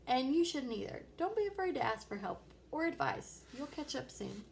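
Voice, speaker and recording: A woman's voice: high-pitched, sing-song, normal speed, creaky, a little hiss or hum, voice pushed up, heavily acted. Woman reading as a patient, reassuring storybook dad. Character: Arthur's reassuring, kindly father, a caterer. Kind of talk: children's storybook read-aloud.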